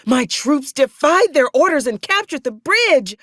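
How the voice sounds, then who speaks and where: A woman's voice: high voice, very animated, measured pace, a bit bright, no echo, studio quality, heavily acted. A woman playing a ruthless, wry military commander in a video game, speaking in a clipped, folksy and old-fashioned soldierly manner with sardonic menace. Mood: theatrical mock dismay. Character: ruthless, wry female military officer, cold pragmatic commander. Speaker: acquisitions officer character in a video game. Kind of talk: scripted video game character dialogue.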